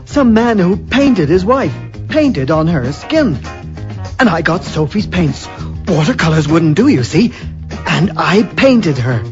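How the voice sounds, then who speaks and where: An actor playing a mild, hesitant husband turned unhinged strangler in a radio drama, with a husky, stammering voice. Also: male voice, high voice, big pitch swings, slow pace, full character voice. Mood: dreamy, unhinged. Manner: hesitant, stammering, repeating words. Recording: dull lo-fi radio recording with music.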